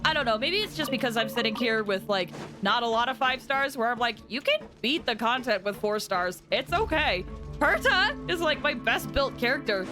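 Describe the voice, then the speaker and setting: A chatty woman game streamer with a fairly high voice. She rambles informally to her viewers, with game music clearly playing behind her. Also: lively intonation, normal speed. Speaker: game livestreamer. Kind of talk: casual chat with viewers while gaming.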